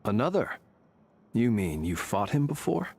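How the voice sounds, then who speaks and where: A man voicing a calm young male adventurer in a video game, asking measured, thoughtful questions. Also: mid-range voice, vocal fry, husky, full character voice. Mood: curious.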